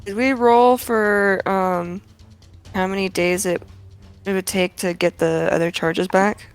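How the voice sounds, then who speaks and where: Woman, clear mid-pitched voice, casual tabletop player, light music underneath. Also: fairly even intonation, a little slow. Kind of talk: casual chat during a tabletop game.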